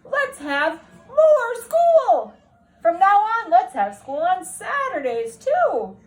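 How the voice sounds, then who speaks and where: A woman voicing a proud, exuberant male school principal in a storybook, with drawn-out, emphatic exclamations. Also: very high-pitched, big pitch swings, voice pushed up, full character voice. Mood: exuberant pride. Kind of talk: storybook character read aloud.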